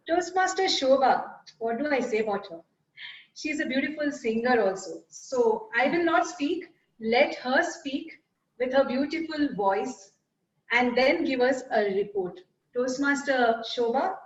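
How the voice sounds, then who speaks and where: A woman hosting an online speaking club meeting, introducing the next speaker in an upbeat way. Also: fairly high pitch. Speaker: meeting host. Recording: dull, echoey sound from far from the mic.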